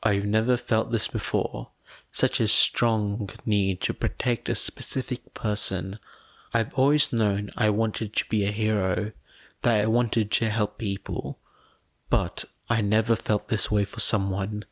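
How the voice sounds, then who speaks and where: A man voicing a quiet, reserved teenage boy, an earnest and troubled younger brother, speaking in an understated, halting way in an audiobook. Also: fairly low pitch, level tone, no echo. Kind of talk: audiobook character dialogue.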